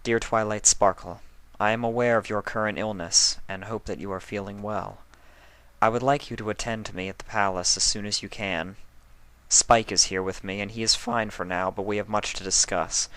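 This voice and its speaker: A royal mare from a cartoon fan story voiced by a man. She speaks formally and with measured authority, caring underneath but with a temper. The sound is bright and very dry. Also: lowish voice, fairly even intonation, clean tone, dry recording, close to an everyday voice. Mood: composed. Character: regal, composed adult pony princess, caring but quick-tempered.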